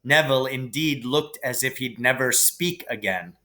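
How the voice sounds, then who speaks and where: A man reading a children's story aloud as dramatic, deliberate, suspenseful narration. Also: mid-range voice, bright, forceful tone. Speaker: reader.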